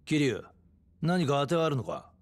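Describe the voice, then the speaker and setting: An actor playing a gruff, world-weary middle-aged detective in a video game cutscene, matter-of-fact in delivery. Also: male voice, on the high side, brisk, close to an everyday voice. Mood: serious, cautioning. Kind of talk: Japanese game cutscene acting.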